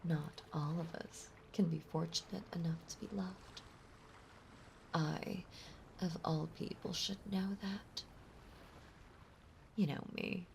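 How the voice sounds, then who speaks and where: A woman playing a possessive, lovesick mermaid monster girl in an intimate audio roleplay, breathy and often whispering, with a doting, clingy delivery. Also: on the low side, steady tone, takes it slowly, pauses often, a little hiss or hum. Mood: smug, possessive, then soft, reassuring. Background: ocean ambience.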